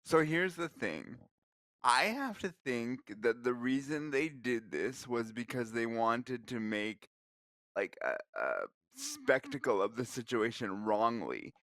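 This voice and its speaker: A man shares opinions as a talk show co-host. He speaks at a medium pitch in an earnest, conversational way, with a dry, close sound. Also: animated, slow pace, fairly bright tone, no echo. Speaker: co-host, podcast host.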